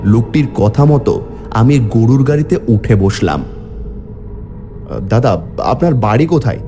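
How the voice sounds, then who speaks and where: Male cartoon horror narrator: dark warm timbre, highly expressive pitch, theatrical first-person storytelling. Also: a little slow, a little hiss or hum. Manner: theatrical, exclamatory in dialogue.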